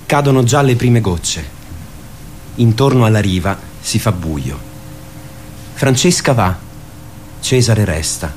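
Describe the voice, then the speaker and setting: A man's voice: lowish voice, a little hiss or hum. A man narrating a radio drama in short, scripted present-tense phrases. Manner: terse stage-direction phrasing.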